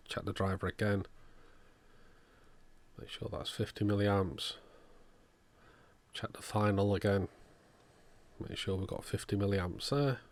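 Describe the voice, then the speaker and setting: A man narrating a hands-on repair demonstration in a methodical, step-by-step way, as the host of an electronics channel. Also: fairly low pitch.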